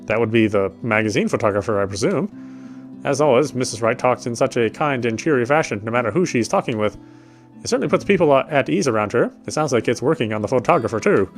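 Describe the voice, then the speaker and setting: A male let's player with a medium-pitched voice reads game narration aloud. He comments casually and sometimes stumbles on words, with light music in the background. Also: expressive, fast talker.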